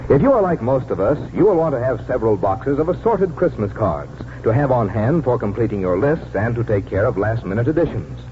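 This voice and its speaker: Male radio announcer, American, 1940s style, animated intonation, mid-range pitch, polished sales delivery, dull vintage broadcast sound. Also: brisk, few pauses. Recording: muffled, faintly noisy 1940s radio broadcast.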